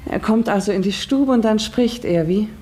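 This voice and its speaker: An actress playing a village schoolteacher in a German radio drama, articulate and measured, stern yet gentle. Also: female voice, medium pitch, lightly acted. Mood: probing, gentle. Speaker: actor in a radio play. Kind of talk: German radio drama, narration and classroom dialogue.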